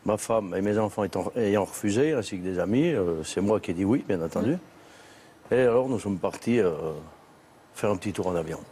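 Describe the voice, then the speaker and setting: Swiss man with a mellow low voice. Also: a little hiss or hum. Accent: Swiss French. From Switzerland, Valais. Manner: halting, restarts with many fillers. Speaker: guest. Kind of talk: studio TV talk show interview. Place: TV studio set.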